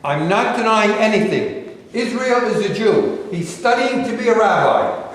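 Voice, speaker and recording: Man playing an earnest basketball coach, high voice, distant, echoey hall. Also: expressive, unhurried. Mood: firm. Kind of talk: staged play reading. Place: theater stage. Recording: echoey hall from far off, faint noise.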